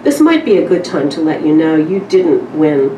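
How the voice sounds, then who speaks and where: A woman's voice: low-pitched, big pitch swings. Woman acting male bill collector, sly, insinuating, cruel, literary story reading, roomy sound, noisy distant recording. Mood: mocking, cruel. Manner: insinuating, deliberate, drawn-out doom-saying.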